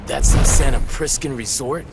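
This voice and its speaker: A man's voice: mid-range voice, moderate pace, gravelly, very noisy, natural voice. An actor playing a cocky, brash young male superhero trading fight banter in a video game. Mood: taunting. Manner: cocky trash-talk. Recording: voice under loud game music.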